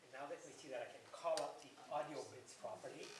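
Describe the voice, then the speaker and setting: A man's voice: medium pitch, fairly even intonation, fast, background noise. A man speaking to a festival audience, chatty and digressive as he introduces recorded clips. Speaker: festival speaker presenting an interview. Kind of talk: informal talk to an audience. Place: event hall. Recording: echoey hall, voice from a distance.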